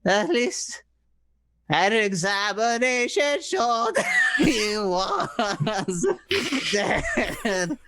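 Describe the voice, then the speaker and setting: A playful amateur narrator reads a radio script very slowly and with ham. The delivery is halting, breaking off into sweary asides, in a dry, close sound. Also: medium pitch, very animated, bright, forceful tone, smooth, dry recording. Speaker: narrator and performer in radio play readings.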